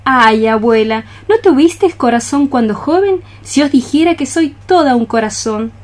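An actress playing a lovesick young maiden cockroach, lyrical and declamatory in a poetic radio play. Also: female voice, high-pitched, expressive, normal speed. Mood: anguished. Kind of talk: poetic radio theatre acting.

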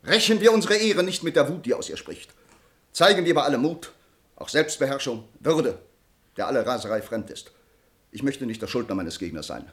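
Proud formal nobleman in a radio play, medium pitch, really swoops around. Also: animated, a little fast, fairly bright tone. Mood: solemn, impassioned. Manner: formal, eloquent, earnest. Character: honor-bound young nobleman, grateful, earnest, passionately restrained. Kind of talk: German radio play acting of classic drama.

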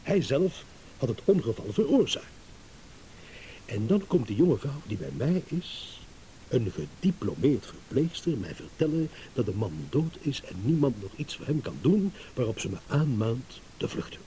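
A male actor plays a shrewd, self-satisfied husband and driver in a radio drama, building his accusation with theatrical pauses. His mellow voice swings widely in pitch, ironic and deliberate, over audible tape hiss. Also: very animated, lightly acted, poor audio. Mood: measured, rueful. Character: married motorist delivering a shrewd, self-satisfied accusing monologue. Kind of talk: Dutch radio play monologue. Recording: hissy old radio play recording.

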